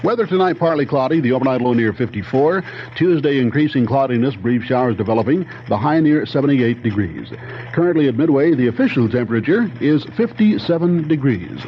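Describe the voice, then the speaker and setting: A man's voice: mid-range voice, animated, a little fast. An American man reading scripted local advertising and weather copy as a radio announcer. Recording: muffled old radio, faint noise.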